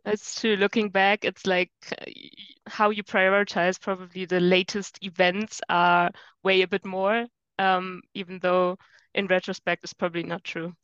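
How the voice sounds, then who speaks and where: A woman being interviewed over a video call, speaking thoughtfully with hesitant pauses and fillers. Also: medium pitch, level tone, fairly bright tone, clean tone, dry recording. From Germany. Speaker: guest. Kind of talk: casual interview chat. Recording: muffled dry video call.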